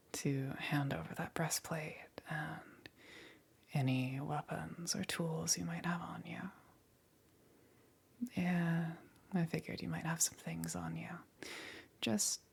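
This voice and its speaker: Woman playing a slow, whispering, menacing demon queen. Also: deep voice, steady tone, full character voice. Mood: commanding. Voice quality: breathy, whispery. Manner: slow, coaxing, softly amused and commanding. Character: menacing, teasing demon queen claiming a captured pet. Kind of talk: ASMR roleplay.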